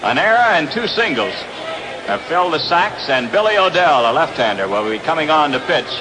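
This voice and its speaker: A man's voice: a little high, sing-song, a little fast. A man with an American accent calls live baseball play-by-play on a noisy 1960s radio broadcast from an outdoor ballpark. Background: loud ambient ballpark noise.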